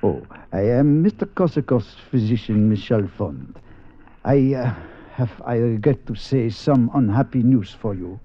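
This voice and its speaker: Radio drama: grave physician, courteous, hesitant, dull fifties recording. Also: male voice, medium pitch, lively intonation. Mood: grave, solemn. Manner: halting, formal. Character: fake German doctor, grave and formal, put on by a mimic. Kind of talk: 1950s radio drama acting.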